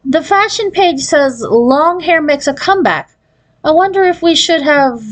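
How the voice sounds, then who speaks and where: A woman's voice: sits high, animated, unhurried, voice pushed up. A woman reading a storybook as a bossy, pushy mother talking to her young daughter. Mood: brisk, probing.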